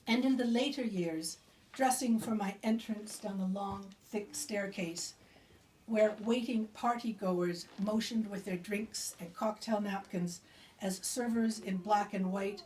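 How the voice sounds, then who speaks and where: A woman's voice: medium pitch, fairly even intonation, takes it slowly, a little mellow. A woman poet speaking and reading her own work live to a small gathering of listeners. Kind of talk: live poetry reading with informal remarks to audience. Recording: distant mic with background noise.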